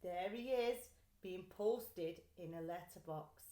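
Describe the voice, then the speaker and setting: A woman reading a children's story aloud like a teacher, with deliberate, clear phrasing and expression. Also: lowish voice, warm tone, a little hiss or hum. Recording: echoey room.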